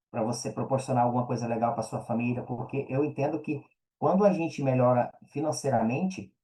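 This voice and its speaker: A Brazilian man with a warm, dark-toned voice chats as a podcast guest. His delivery is colloquial and earnest. He often repeats phrases to drive them home. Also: mid-range voice, poor audio. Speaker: podcast guest, affiliate marketer and mentor. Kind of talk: casual podcast conversation.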